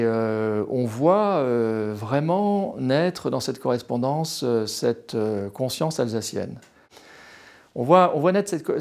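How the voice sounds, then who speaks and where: A man from France in his late sixties, a retired history professor, gives a personal account in an interview. He talks hesitantly, with many fillers and restarts, and his voice has a gravelly edge. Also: medium pitch, a little mellow. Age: 60s.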